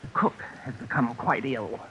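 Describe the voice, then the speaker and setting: An actor playing a fussy, courteous, murderous schemer in an old-time radio suspense drama. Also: male voice, mid-range voice, lively intonation, moderate pace, pushed and bright. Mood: politely menacing. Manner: prim, courteous, hesitant. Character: fussy, polite, soft-mannered murderous male schemer. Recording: dull, hissy vintage radio recording.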